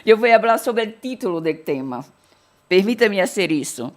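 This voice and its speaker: A woman's voice: medium pitch, expressive, normal speed. A Brazilian woman from Bahia giving an academic keynote lecture, blending Portuguese and Spanish with frequent self-corrections and restarts. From Salvador, Bahia, Brazil.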